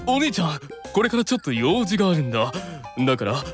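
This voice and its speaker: An actor playing a stern, exasperated but doting older brother, commanding and easily flustered, in an anime audio drama. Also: male voice, on the high side, sing-song, fast, music in the background. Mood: stern, impatient. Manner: commanding, scolding, flustered when softened.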